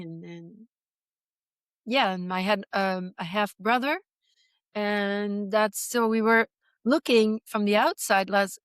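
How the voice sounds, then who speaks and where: A woman in her sixties from Belgium tells her story slowly and reflectively, often pausing and restarting her sentences. Her voice is smooth and clear, recorded close and dry, at a middle pitch. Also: dry recording. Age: 60s. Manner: reflective, halting, many fillers and restarts. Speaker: guest. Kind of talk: personal testimony in interview.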